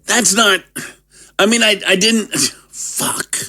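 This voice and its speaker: A man's voice: high-pitched, takes it slowly, pushed and bright, heavily acted. An actor playing an anxious, confused male initiate, hesitant and defensive, in an audio drama. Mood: flustered.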